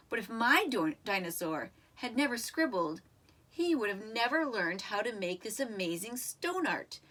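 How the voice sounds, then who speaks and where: A woman reading a children's story aloud in an expressive, animated way, with dramatic emphasis and drawn-out words. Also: fairly high pitch. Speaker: storybook reader.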